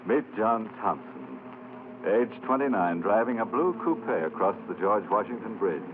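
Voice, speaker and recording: An actor playing a ghoulish, mock-genial host of a 1950s radio horror show, sly and teasing, with hammy pauses and morbid relish. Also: male voice, lowish voice, big pitch swings, background noise. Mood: mock solemn. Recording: dull vintage radio recording with music.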